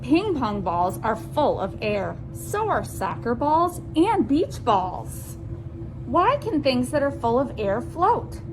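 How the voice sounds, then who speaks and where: High, slow-paced woman reading to children. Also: very animated, over music, rough recording. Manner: deliberate, addresses listeners as friends with simple questions. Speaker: children's storytime presenter and reader. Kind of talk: children's read-aloud with asides to listeners.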